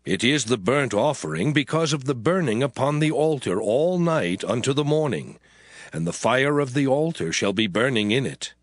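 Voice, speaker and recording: Solemn man reading scripture with lively intonation. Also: mid-range voice, no room sound. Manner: solemn, measured, declamatory. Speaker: scripture reader.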